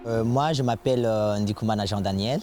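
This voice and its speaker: A man's voice: fairly low pitch, over loud music. Hesitant man from Burundi, interviewed. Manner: halting, many hesitations. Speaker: community worker for asylum seekers. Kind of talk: interview answers.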